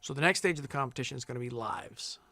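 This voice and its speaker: A man at middle pitch talks in loose, easygoing interview answers, often circling back and restarting his sentences. The sound is dry and close to the mic, with no room around it. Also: fast talker, dry recording. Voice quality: big loud singing voice. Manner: relaxed, rambling, many fillers and restarts. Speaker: singing contestant.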